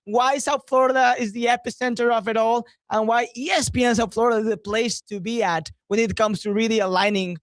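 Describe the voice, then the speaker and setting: A man's voice: very high voice, steady tone, a little fast, few pauses, no room sound. Male sports radio guest, casual conversational delivery, close dry recording. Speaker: guest, soccer broadcasting colleague.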